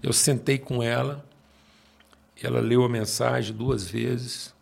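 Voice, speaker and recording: Man, 60s, Brazilian accent, pastor, gravelly, husky, emphatic storyteller. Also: medium pitch, fairly even intonation. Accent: Brazilian Portuguese. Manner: emphatic, slows down to stress points. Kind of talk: personal storytelling in conversation.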